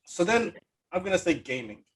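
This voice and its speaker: A man's voice: sits high, very animated, moderate pace. A man hosting a casual interview, chatting enthusiastically in a rambling way and often repeating himself for emphasis. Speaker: podcast host.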